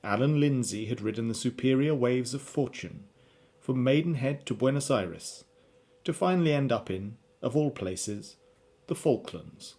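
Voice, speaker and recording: Husky male radio drama narrator. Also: medium pitch, lively intonation, a little slow, darkish tone.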